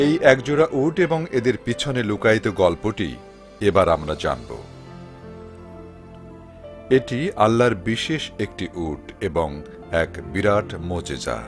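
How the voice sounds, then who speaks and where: A man's voice: on the low side, very animated, unhurried, pauses often, over music. A man narrating a scripted story as the storyteller.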